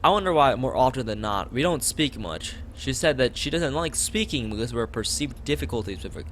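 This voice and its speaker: A man's voice: medium pitch, lively intonation, a little fast, a bit bright, a little hiss or hum. A young man reading a game's text aloud on a casual stream, rambling into asides and stumbling over lines. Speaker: streamer playing a visual novel.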